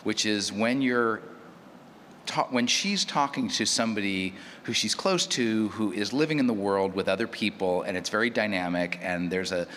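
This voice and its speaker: A man with a very low voice talks thoughtfully as a producer on a panel. He feels his way through explanations, repeating small phrases. The hall rings with long reverb and there is clear background noise. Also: moderate pace, fairly bright tone. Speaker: audio drama producer on a panel.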